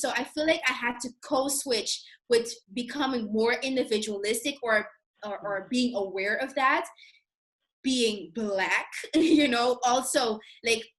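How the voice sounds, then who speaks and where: A woman's voice: fairly high pitch, a little slow, a bit bright, low-quality recording. A young woman from Curaçao, talking casually and chattily as a guest about her own experience. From Curacao, in the Caribbean. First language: not Dutch, a language of Curacao. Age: 20s-30s. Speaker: guest sharing career experiences. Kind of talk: casual conversational discussion.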